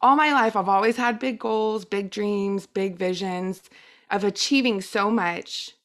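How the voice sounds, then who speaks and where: A woman's voice: medium pitch, unhurried, fairly bright tone. Middle-aged female guest speaker, first language Spanish, mid-range voice, earnest and emphatic personal storytelling. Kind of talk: personal testimonial talk.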